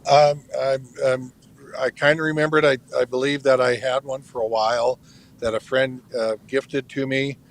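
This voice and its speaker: A man's voice: mid-range voice, little pitch movement, normal speed. A man calling in by phone as a guest, talking hesitantly as he searches his memory. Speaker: guest caller. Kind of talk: casual chat.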